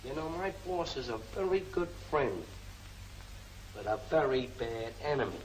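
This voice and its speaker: A man's voice: medium pitch, lively intonation, normal speed, lightly acted. An actor playing a cocky gangster henchman in an old film serial, with slangy, chummy tough talk that carries menace. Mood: coaxing, menacing. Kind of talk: 1940s film serial acting. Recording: noisy old film soundtrack.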